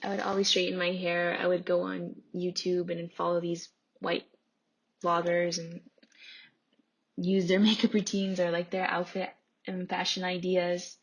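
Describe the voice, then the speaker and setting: A young American woman reflects casually on her own life. Her voice sits a little low with plenty of vocal fry, and her pitch moves very little. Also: little pitch movement, measured pace. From Mexican family background. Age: young adult. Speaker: anonymous testimony participant.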